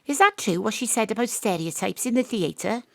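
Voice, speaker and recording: An actress playing a wry, brisk female amateur detective who narrates and questions with dry asides, recorded from a home studio. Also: medium pitch, animated, vocal fry, no room sound. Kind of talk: live remote audio drama acting.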